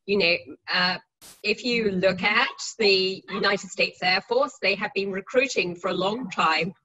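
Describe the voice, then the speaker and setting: A woman's voice: medium pitch, sharp tone. A woman with an English accent speaking as a panelist on a video call, chatty and self-deprecating, often restarting her sentences. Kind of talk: panel discussion. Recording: muffled video call audio.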